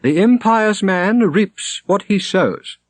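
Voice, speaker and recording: A man's voice: high voice, expressive, slow pace, dry recording, pitched above the performer's own voice. A man voicing a pompous, imperious monastery prior in an audiobook, declamatory and commanding. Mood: solemn, declaiming. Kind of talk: audiobook character acting.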